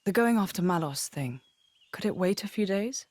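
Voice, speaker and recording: A woman's voice: medium pitch, expressive, normal speed, a little mellow, no echo, close to an everyday voice. An actress playing a kind, hesitant young mercenary woman in a fantasy game, casual and tentative.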